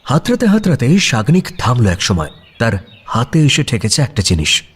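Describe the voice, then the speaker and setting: A man narrating a scripted suspense story as a dramatic storyteller, using pauses for tension. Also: mid-range voice, huge pitch swings, breathy edge. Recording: music behind the voice.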